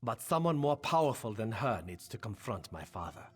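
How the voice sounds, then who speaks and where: A man playing a calculating male vampire rebel in a video game, husky, measured and commanding. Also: lowish voice, big pitch swings, faint music behind. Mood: calm, calculating. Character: male vampire rebel leader, calculating foe of his ancient father.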